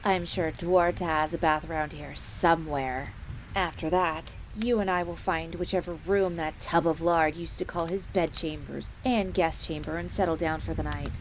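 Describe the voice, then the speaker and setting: A woman voicing a suave, commanding male vampire master in an audio drama, speaking in a measured, formal, indulgent manner. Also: low-pitched, steady tone, pitched below the performer's own voice. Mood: musing, assured. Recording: faint crackling fire noise behind voice.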